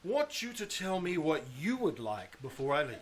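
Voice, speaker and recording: A man's voice: on the high side, big pitch swings, moderate pace. A man playing a pompous, falsely magnanimous school principal, slyly hinting, in 1950s radio comedy style. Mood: unctuous. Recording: live stage, noticeable background noise.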